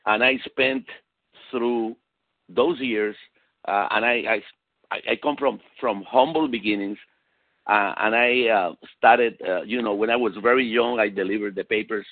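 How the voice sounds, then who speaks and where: A man's voice: fairly low pitch, fairly even intonation, a little slow, dry recording. A man with a Latin American Spanish accent giving an emphatic motivational business talk over a conference call, repeating key phrases for weight. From South America and Puerto Rico. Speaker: motivational sales speaker, executive consultant. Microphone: phone conference call. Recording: tinny narrow phone-line sound.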